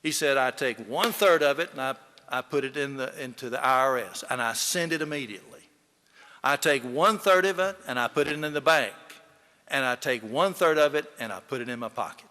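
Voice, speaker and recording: A man's voice: medium pitch, a little fast, bright, forceful tone. A man giving a eulogy, telling folksy anecdotes to an audience in an emphatic storytelling manner. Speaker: eulogy speaker, fellow lawyer. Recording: echoey hall with long reverb.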